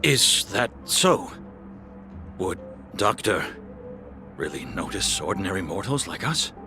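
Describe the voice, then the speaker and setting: An actor playing an earnest, excitable male scientist in a video game, theatrical, eager and easily flustered. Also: sits low, slow, over loud music, heavily acted. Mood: dismayed. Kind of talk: scripted video game voice acting.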